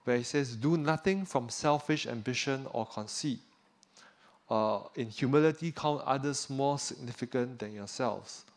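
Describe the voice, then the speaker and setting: A man from Singapore preaches, his voice sitting at a middle pitch. He talks earnestly and keeps checking in with his listeners. On heavy points he sinks lower and softer. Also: a little slow. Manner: drops pitch and volume on weighty points. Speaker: pastor. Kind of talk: sermon.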